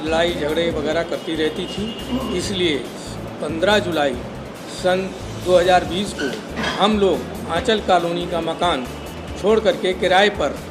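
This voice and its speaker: An older man giving a statement in an interview, speaking in deliberate, halting phrases. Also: high-pitched, low-quality recording. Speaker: interviewee, father-in-law giving his side. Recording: loud music over the speech. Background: loud music.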